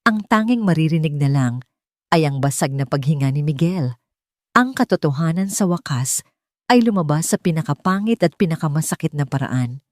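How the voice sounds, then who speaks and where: A woman's voice: sits low, animated, moderate pace, darkish tone, no room sound, clean studio sound. A woman reading a dramatic story as scripted narration.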